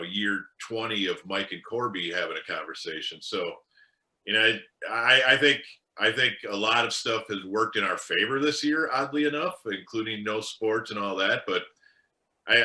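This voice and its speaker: Low, bright male co-host, thoughtful, stumbles and restarts, roomy echo. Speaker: radio co-host. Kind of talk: casual radio chat. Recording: echoey room.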